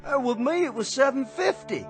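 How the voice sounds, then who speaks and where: A man's voice: extremely high pitch, lively intonation, moderate pace. An actor playing a plain-spoken, insistent and anxious townsman in a radio drama. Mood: aggrieved. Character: blunt, anxious townsman haggling over his dead brother. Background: music.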